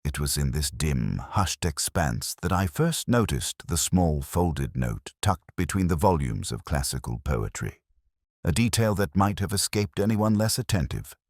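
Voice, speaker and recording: A man reading a scripted first-person detective story in a measured, reflective, literary manner. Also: sits low, big pitch swings, dry recording, clean studio sound. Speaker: audiobook-style story narrator.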